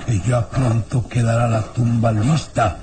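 A man's voice: medium pitch, level tone, measured pace, background noise, natural voice. An actor playing a haughty, villainous old nobleman in a radio drama, with an imperious, disdainful and theatrical delivery. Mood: cold disdain.

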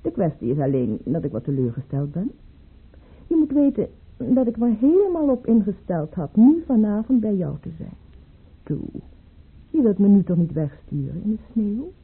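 Very husky, coquettish baroness. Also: female voice, mid-range voice, very animated, normal speed, full character voice. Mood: wheedling. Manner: arch, wheedling, theatrical. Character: proud, coquettish baroness, teasing and insistent lover. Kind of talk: old-fashioned radio drama acting. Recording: muffled, phone-like old radio recording.

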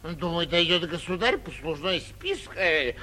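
A man's voice: fairly high pitch, big pitch swings, bright, forceful tone, slight background noise, exaggerated voice. An actor playing a boastful, tipsy young man who gets carried away, in theatrical 1940s radio drama style. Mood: self-satisfied. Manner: drawn-out bragging with sudden swells and drops.

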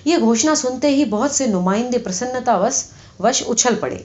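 A woman's voice: a little high, lively intonation, darkish tone. A woman reading a satirical short story as scripted audiobook narration. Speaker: audiobook reader. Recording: slightly dull, lo-fi audio.

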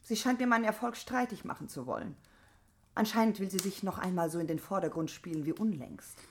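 An actress in a radio play voicing a haughty opera prima donna, grand and self-assured in manner. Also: female voice, fairly low pitch, fairly quick, slight background noise, close to an everyday voice. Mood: suspicious. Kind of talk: German radio play acting.

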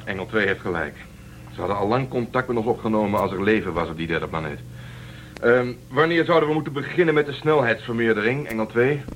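An actor plays a reasonable male spaceship crewman in a radio play. He talks fast and matter-of-factly in a lowish voice, on a slightly dull recording. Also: expressive, lightly acted. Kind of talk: Dutch radio drama acting. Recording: slightly dull, faint hum.

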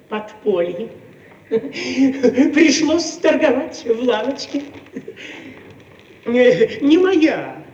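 A self-important Soviet press man of flexible convictions boasts in slow, halting phrases. His high-set voice sounds distant and roomy, with faint noise, as in an old radio play. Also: lo-fi sound, full character voice. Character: smug opportunist Soviet journalist, bragging man of the intelligentsia. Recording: roomy, distant, noisy archive radio recording.

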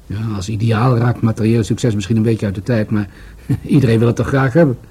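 Probing male detective, dark raspy voice, dry skeptical manner, measured questions, radio play. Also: fairly low pitch, steady tone. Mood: skeptical, musing. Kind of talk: Dutch radio play acting.

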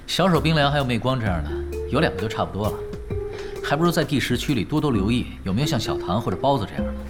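An actor playing a laid-back young male pro gamer in a radio drama, talking in an unhurried, nonchalant, teasing way. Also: mid-range voice, big pitch swings, over music, close to an everyday voice. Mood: wry, amused. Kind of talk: Chinese radio drama acting.

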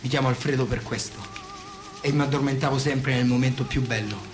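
A man's voice: medium pitch, fairly even intonation, fairly quick, lightly acted. A man acting a weary, worn-down working man in a theatrical monologue, insistent and wistful. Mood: wistful, tender. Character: weary working man, worn down, tenderly remembering his father. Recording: echoey room, loud music.

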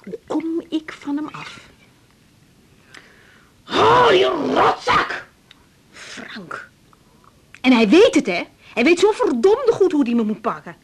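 A woman playing a young, harried doctor in a radio drama, expressive and full of questions, emotional and insistent. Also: high-pitched, slow, long pauses, a little hiss or hum, full character voice. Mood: upset, bitter. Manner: expressive, questioning, stammers when upset. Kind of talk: 1980s radio drama acting.

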